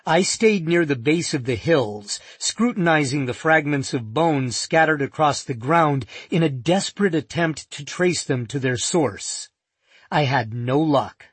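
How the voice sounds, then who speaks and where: A man narrating a first-person nonfiction audiobook in a measured, vivid storytelling manner. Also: on the high side, lively intonation, slightly rough, dry recording.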